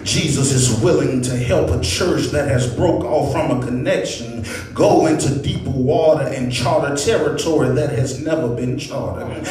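A man preaching a sermon in an impassioned, oratorical way, using rhetorical repetition and addressing his congregation. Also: fairly high pitch. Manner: impassioned, oratorical, builds from measured phrases to shouts. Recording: echoey hall, distant microphone.

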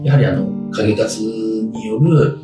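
A man in his sixties explaining as a guest expert in an informal interview, in halting fragments full of fillers. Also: fairly low pitch, fairly even intonation. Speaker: guest expert on samurai history. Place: small indoor room. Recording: roomy, distant mic, background guitar music. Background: guitar music.

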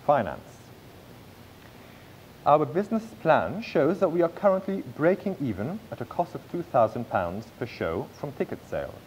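A man in his thirties presenting a business pitch from a script on stage, with occasional slips and restarts. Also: very animated, normal speed, darkish tone, dull audio, a little hiss or hum. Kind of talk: read-aloud business pitch. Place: large theatre stage.

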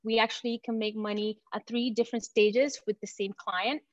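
A woman from Venezuela presenting her company in an enthusiastic, confident startup pitch. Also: fairly even intonation, normal speed, dry recording, dull audio. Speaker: company founder pitching to investors.

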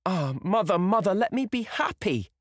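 A man's voice: high-pitched, sing-song, normal speed, no room sound, clean studio sound, voice pushed up. A man voicing a young girl in love, eager, proud and pleading, in a breathless way.

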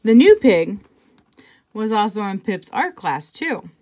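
A woman reading a picture book aloud to children, conversationally, pausing for casual asides and check-in questions. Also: medium pitch, big pitch swings, mellow. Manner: conversational, pointing things out and asking check-in questions. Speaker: intervention specialist reading to children.

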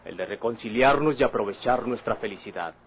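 A man's voice: fairly low pitch, a little fast, slight background noise, lightly acted, poor audio. An actor playing a proud, wounded young Mexican man, solemn and declamatory, in an old radio drama. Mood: defiant.

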